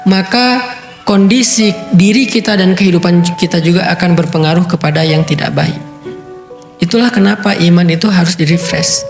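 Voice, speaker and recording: A man giving a conversational Islamic sermon, explaining with rhetorical questions and Arabic recitation. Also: sits high. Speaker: preacher. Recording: echoey room with faint music.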